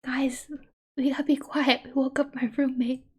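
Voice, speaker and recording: A woman hosting a livestream, chatting casually in an expressive, exclamatory way. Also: high-pitched, normal speed, breathy edge. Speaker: livestream host.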